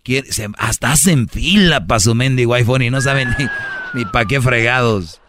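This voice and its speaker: A man's voice: mid-range voice, normal speed, background noise. A man hosting a radio show, teasing callers and lecturing in a mock-preachy way, dragging out words for emphasis. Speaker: radio host.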